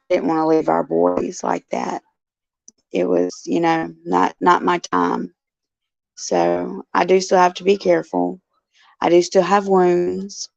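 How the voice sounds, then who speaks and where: A woman hosting her show with a raspy, gruff voice, chatting in a folksy way and answering viewers by name from a care facility. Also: on the low side, a little mellow, no echo. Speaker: show host. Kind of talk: casual chat, answering viewers. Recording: dull lo-fi, dry sound.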